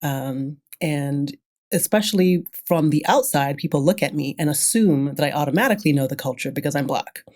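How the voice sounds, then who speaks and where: Woman on a podcast, kinda low voice, chatty and candid, tells stories with quoted bits. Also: moderate pace. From grew up in London, then Brooklyn. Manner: candid, animated storytelling, acts out quoted remarks, often chuckles. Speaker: podcast guest. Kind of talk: casual interview conversation.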